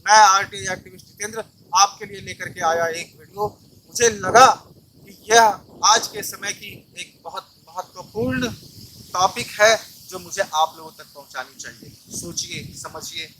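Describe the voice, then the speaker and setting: Man, high pitch, emphatic activist monologue, rhetorical, noisy natural ambience. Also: measured pace, sharp tone. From Bihar, India. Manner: emphatic, rhetorical, exhorting with repeated refrains. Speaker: RTI activist, video presenter. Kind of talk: awareness monologue to camera.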